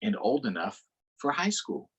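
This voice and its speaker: A man reading a picture book aloud to children in an unhurried way, pausing for short explanatory asides. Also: a little high, big pitch swings, creaky, a bit bright, husky, lo-fi sound. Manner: unhurried, adds explanatory asides to young listeners. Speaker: storytime reader.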